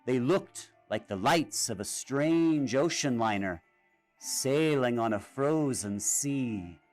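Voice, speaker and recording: A man reading a children's storybook aloud in an expressive, dramatic way. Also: medium pitch, slow pace. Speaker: storybook reader. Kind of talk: scripted children's storybook narration. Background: music and sound effects.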